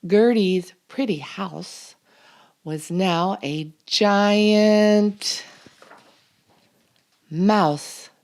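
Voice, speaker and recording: A woman reading a children's picture book aloud as a storytime host, expressive and deliberate, drawing out key words. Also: mid-range voice. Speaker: storytime reader and channel host.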